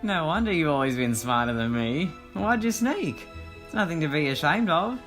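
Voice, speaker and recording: A man's voice: on the high side, lively intonation, normal speed, fairly bright tone, faint music behind, pitched above the performer's own voice. A man voicing a naive, affectionate male hero and best friend in a visual novel game voiceover, tender and gushing. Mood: reassuring.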